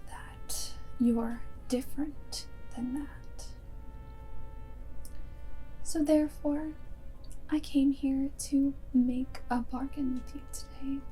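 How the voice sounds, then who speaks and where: Very slow, soft-spoken vampire girlfriend, acted by a woman. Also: high-pitched, flat delivery, faint music behind, poor audio. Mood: guarded, tender. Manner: unhurried, deliberate phrasing with breathy whispered stretches. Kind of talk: ASMR roleplay, half-whispered.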